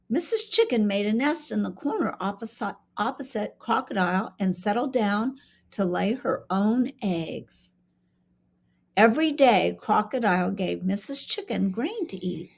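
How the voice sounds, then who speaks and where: A woman's voice: medium pitch, creaky. A woman reading a children's storybook aloud expressively, as a school reader does in an interactive session. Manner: expressive, pauses to ask questions.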